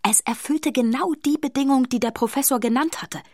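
A woman's voice: high voice, brisk, a little mellow, no echo. A woman narrating a scripted children's story in a studio, as the narrator of a radio play. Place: dry studio.

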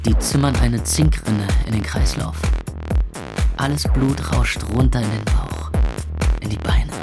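A man's voice: mid-range voice, a little slow, over loud music, natural voice. An actor playing a weary, sardonic young club owner who narrates his night in a radio drama in terse phrases. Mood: wry. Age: young adult. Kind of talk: first-person radio drama narration over club music.

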